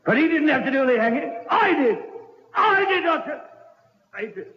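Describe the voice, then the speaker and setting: An actor playing a pompous executioner with an unhinged streak, theatrical, in an old radio drama. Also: male voice, extremely high pitch, very animated, moderate pace. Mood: unhinged confession. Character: pompous executioner cracking into unhinged murderous grief. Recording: muffled old radio recording, echoey.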